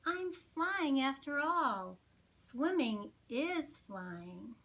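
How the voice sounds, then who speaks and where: A woman's voice: high-pitched, sing-song, extremely slow, a bit bright, full character voice, low-quality recording. A woman voicing an eager, earnest young penguin girl in a storybook reading. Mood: delighted.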